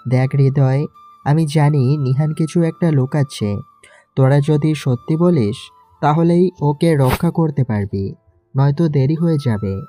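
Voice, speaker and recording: A man's voice: fairly high pitch, a little slow, dark tone, faint music behind, natural voice. A man playing a suspicious, protective elder brother in an audio story, speaking in a stern, questioning way. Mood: firm. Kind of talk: Bengali audio story acting.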